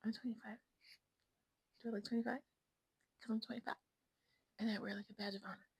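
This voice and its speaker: A sassy woman of twenty-five, chatting casually to camera on a new mic and slipping between breathy whispers and loud asides. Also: on the high side, flat delivery, brisk, no room sound. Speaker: vlogger. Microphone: new desk mic.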